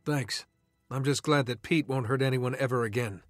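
A man's voice: medium pitch, moderate pace, fairly bright tone, no echo, soft background music, lightly acted. An actor playing a brave, cocky young male hero in a video game. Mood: relieved. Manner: cocky, confident.

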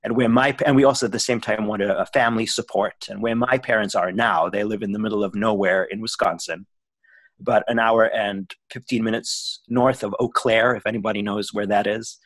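A man's voice: fairly low pitch, steady tone, brisk, fairly bright tone, no echo. A man in his forties talking as a guest over a video call from home, telling his life story chattily with many "you know" and "um" fillers. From born in Switzerland, raised in South Africa, Israel and the US. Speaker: guest, actor and writer. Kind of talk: casual interview. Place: home room with bookshelves.

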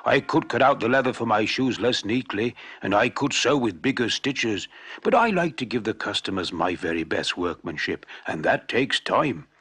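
A man voicing a kind, careful old shoemaker in a storybook reading, speaking softly and slowly. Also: fairly low pitch, expressive, breathy edge, dry recording, full character voice. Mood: patient. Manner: soft-spoken, slow and careful.

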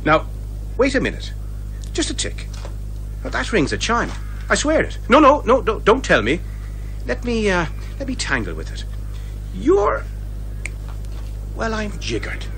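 An actor playing a jovial, boastful, garrulous Irish police inspector in a radio detective comedy. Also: male voice, sits high, unhurried, pauses often, faint music behind, full character voice. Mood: delighted. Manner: garrulous, excitable.

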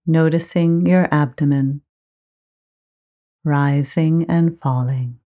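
A woman teaching guided meditation, giving gentle, measured instructions with frequent pauses. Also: low-pitched, fairly even intonation.

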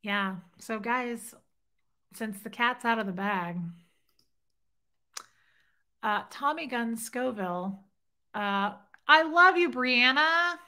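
A woman's voice: fairly high pitch, takes it slowly, pauses often, pushed and bright, smooth. A woman in her thirties hosting a casual livestream from her living room, teasing and flirty as she reads viewer comments to a webcam. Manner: playful, teasing, flirty banter. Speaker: livestream host. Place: home living room, indoors. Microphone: webcam at desk.

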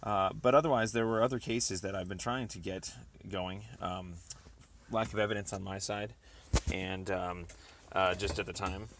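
A man leaving a rambling voicemail, speaking in a hesitant, halting but insistent way, on a recorded phone call. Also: low voice, steady tone, a bit bright, slight background noise.

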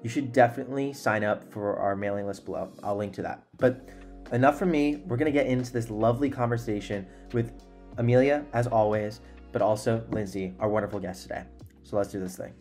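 A man's voice: lowish voice, brisk, a little mellow, soft background music. A young man hosting a podcast in a conversational style, speaking earnestly and emphatically into a desktop microphone. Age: young adult. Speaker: podcast host. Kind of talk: conversational podcast introduction and interviewing. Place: small indoor room at home. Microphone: desktop microphone close up.

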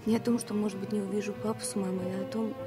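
An actress playing an anxious, lovestruck young stewardess in a radio play, earnest and halting. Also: female voice, mid-range voice, level tone, lightly acted. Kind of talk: radio play inner monologue. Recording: music bed behind 1970 radio play.

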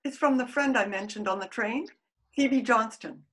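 An older woman playing a genteel, polite 1908 suffragist in a radio play, with an expressive, mannered delivery. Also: a little high, moderate pace, natural voice. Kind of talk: period radio play acting. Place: at home on a video call.

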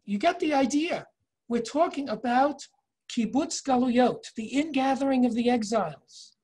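An older man in his sixties leading a virtual tour lecture from home over a video call, measured and explanatory, reading inscriptions slowly. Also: very high-pitched, a little mellow, breathy edge. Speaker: tour guide and educator. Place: home office, indoors. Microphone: video call webcam.

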